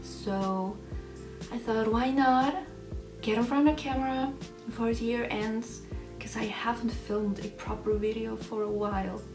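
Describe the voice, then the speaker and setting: A young woman from Switzerland chats to the camera in a casual Q&A vlog, a little self-conscious, pausing and restarting as she goes. Her voice sits fairly high. The room rings around her, the mic is set back, and soft music plays underneath. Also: roomy sound, distant mic. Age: young adult. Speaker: channel host. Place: bedroom at home, indoors.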